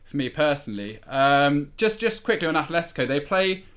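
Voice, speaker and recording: A man talking football as a podcast panelist, giving his analysis in a conversational, slightly halting way. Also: a little high, big pitch swings, fairly bright tone. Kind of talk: football discussion, casual analysis.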